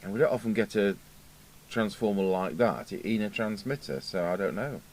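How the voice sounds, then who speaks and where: A middle-aged British man with a low voice talks through a hands-on technical demonstration. He muses aloud without a script, pausing as he works things out. The workshop recording carries clear background noise. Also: expressive, darkish tone. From United Kingdom. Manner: unscripted, musing aloud, hesitant pauses. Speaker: radio repair technician. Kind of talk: informal hands-on workbench demonstration. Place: indoor radio repair workbench.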